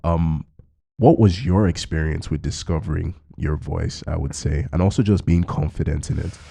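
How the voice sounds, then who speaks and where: A man with a low voice and a gravelly, rough edge to it. He sounds dark in tone and talks in a meandering, reflective way, circling back on his points and filling the gaps with "like" and "you know". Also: normal speed. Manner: musing, loose, peppered with fillers. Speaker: podcast host. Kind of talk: reflective conversational chat.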